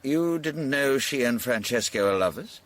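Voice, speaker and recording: A man's voice: mid-range voice, expressive, moderate pace, natural voice. A man playing a polite, shrewd amateur detective in a radio drama, with faint noise behind him. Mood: smooth, probing.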